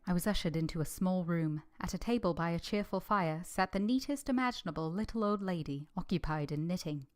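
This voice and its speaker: A woman playing an earnest, modest young governess in a vintage-style radio drama, measured, polite and literary in delivery. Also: fairly low pitch, a little mellow, faint music behind, lightly acted. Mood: reflective. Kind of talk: first-person narration in vintage radio drama.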